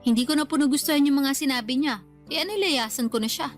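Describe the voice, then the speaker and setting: A high, youthful female voice of an actress plays an aggrieved daughter in a radio drama. She is whiny and defensive but stays respectful. Soft music runs under her lines. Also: fast, lightly acted. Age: young adult. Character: aggrieved grown daughter sulking over a lovers' quarrel.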